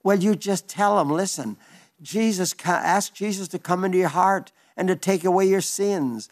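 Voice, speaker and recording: Elderly preacher reading listener letters. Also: male voice, sits high, moderate pace. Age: older. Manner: chatty and warm-hearted, with small stumbles and asides. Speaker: radio preacher. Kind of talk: folksy radio sermon reading listener letters.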